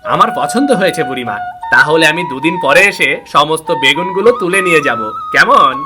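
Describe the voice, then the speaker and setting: A man's voice: on the high side, lively intonation, a little fast, natural voice. A man voicing a coaxing eggplant buyer. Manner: friendly, coaxing, reassuring. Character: smooth-talking eggplant buyer, friendly to old woman. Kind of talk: cartoon voice acting. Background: background music.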